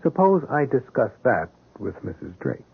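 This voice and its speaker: A dogged radio-drama police detective with a medium-pitched, expressive voice. He probes patiently and politely, heard through a tinny old broadcast recording with some hiss. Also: normal speed, vocal fry, breathy edge, full character voice. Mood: polite, firm. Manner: questioning, polite, persistent. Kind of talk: 1970s radio drama acting.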